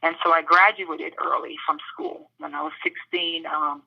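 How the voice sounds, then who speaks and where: A woman from the British Virgin Islands answering interview questions thoughtfully, pausing often with fillers. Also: deep voice, very animated, vocal fry, highs cut off. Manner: thoughtful, with many pauses and fillers.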